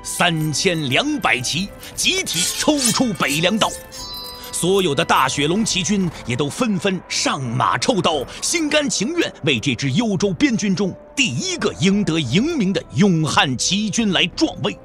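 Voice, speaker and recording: A man's voice: high-pitched, very animated, a little slow, a bit bright, soft background music. A man giving dramatic scripted narration for an audio drama.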